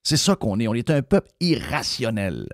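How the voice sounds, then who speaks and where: A man's voice: mid-range voice, huge pitch swings, normal speed, no room sound, studio quality. A man with a Québécois French accent hosting a radio talk show, ranting bluntly and swearing freely. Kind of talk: opinionated radio rant.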